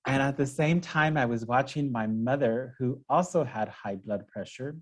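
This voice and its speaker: A man's voice: medium pitch, moderate pace. Friendly middle-aged man from California. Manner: friendly, telling his story in a relaxed conversational way. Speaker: podcast guest, healing teacher. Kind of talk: podcast interview.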